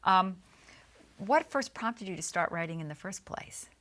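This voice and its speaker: A woman's voice: medium pitch, animated, measured pace, a bit bright. A woman hosting a TV interview, asking friendly, inquisitive questions. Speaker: TV interview host. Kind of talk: interview conversation. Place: TV studio.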